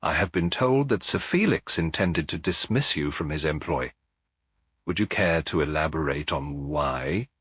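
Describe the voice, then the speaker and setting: A man voicing a shrewd, calm Belgian gentleman detective in a mystery audiobook, deliberate, courteous and theatrical. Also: extremely low pitch, breathy edge, no room sound, clean studio sound, full character voice. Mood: quietly probing.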